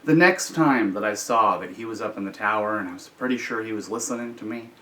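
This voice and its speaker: An American man telling personal stories in an informal, measured, explanatory way. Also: on the low side, pushed and bright. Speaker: storyteller, host. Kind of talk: informal explanatory storytelling about personal experience. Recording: slightly distant from the microphone.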